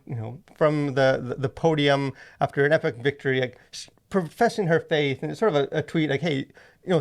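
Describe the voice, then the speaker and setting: Wry man with a creaky voice. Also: mid-range voice. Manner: wry, jokey, rambling asides. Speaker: podcast co-host. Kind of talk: casual conversational podcast commentary.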